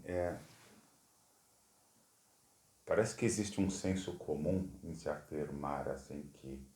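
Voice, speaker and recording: A man with an extremely deep, dark voice asks long interview questions, hesitating often. He talks very slowly and draws his questions out. The room around him echoes. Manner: slow, hesitant, drawn-out questions.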